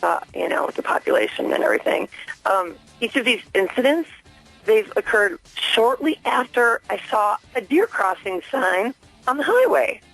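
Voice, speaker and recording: A woman's voice: a little high, big pitch swings, normal speed, gravelly, a bit bright, no echo, soft background music. A woman phoning a radio station as a listener, making an earnest, insistent complaint in a hesitant, spontaneous way. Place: phone call into a radio station. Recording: dry telephone line to radio studio.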